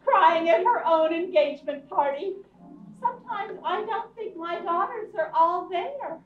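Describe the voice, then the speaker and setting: Super high flighty society mom, drags her words, noisy stage recording. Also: lively intonation, unhurried. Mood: giddy amusement. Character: flighty, tittering society mother at a party. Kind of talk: radio theatre acting. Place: theatre stage before an audience. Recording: distant echoey stage, noisy, muffled.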